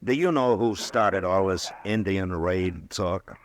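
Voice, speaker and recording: Laconic western marshal, very low voice, American accent, dry, steady, old-time radio drama. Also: male voice. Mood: patient, skeptical. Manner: dry, understated, unhurried. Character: laconic, steady, dryly skeptical frontier U.S. marshal.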